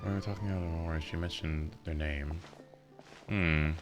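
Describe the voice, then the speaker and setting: A man's voice: very deep voice, fairly even intonation, normal speed, over music. A man giving casual live-stream commentary on a game, blunt and sweary. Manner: blunt, sweary, exasperated outbursts.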